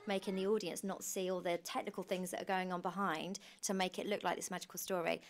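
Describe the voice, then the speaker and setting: A woman's voice: on the low side, little pitch movement, quick pace, faint music behind. A young British woman talking informally and at length in a documentary interview, as a director of stage shows. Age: young adult. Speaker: pantomime director and producer. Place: small costume room indoors.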